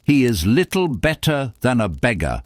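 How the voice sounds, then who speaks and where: A man's voice: mid-range voice, sing-song, moderate pace, no echo, studio quality, pitched above the performer's own voice, lightly acted. A man voicing a pompous, self-important town mayor in a storybook, in exclamatory phrases. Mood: disdainful.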